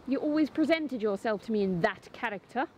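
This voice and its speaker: An actress playing a proud, hot-tempered Victorian wife in a melodramatic, formal stage style. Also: female voice, on the high side, expressive, a little fast, darkish tone, slight background noise, lightly acted. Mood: indignant. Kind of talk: theatrical period drama acting.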